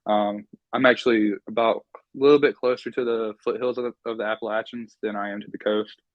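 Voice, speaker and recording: Low, flat-voiced young man, lots of ums. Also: a little fast, no room sound, highs cut off. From North Carolina. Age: young adult. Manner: relaxed, rambling with many ums. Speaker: guest. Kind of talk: casual interview chat.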